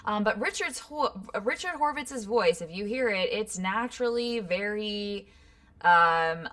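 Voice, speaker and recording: A woman's voice: mid-range voice, animated, unhurried, fairly bright tone. A woman from Los Angeles, a voice actor, answering workshop questions in a chatty, explanatory way. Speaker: voice actor guest. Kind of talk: online workshop Q&A.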